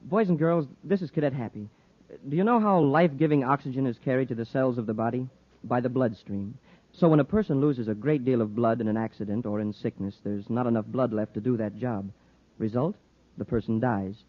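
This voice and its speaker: An actor playing an eager, earnest, boyish young space cadet in a 1950s radio serial. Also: male voice, medium pitch, fairly quick, natural voice. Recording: muffled 1950s radio recording.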